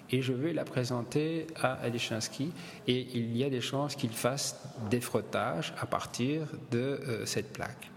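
A middle-aged man from Belgium tells his stories slowly, stopping and restarting his sentences. His voice has a gravelly edge. The recording carries a long reverb tail and a faint background hum. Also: medium pitch. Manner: hesitant, many fillers and restarts. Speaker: hairdresser, gallery owner and publisher. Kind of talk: relaxed interview storytelling.

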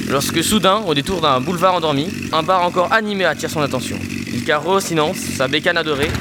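A man's voice: fairly high pitch, heavy background noise. A man narrating an audio drama in a literary, dramatic storytelling style.

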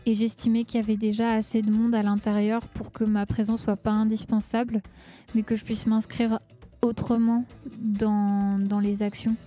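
A young woman giving a personal testimony in an introspective, halting way. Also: fairly high pitch, flat delivery, mellow, faint music behind. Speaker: participant in a theatre occupation.

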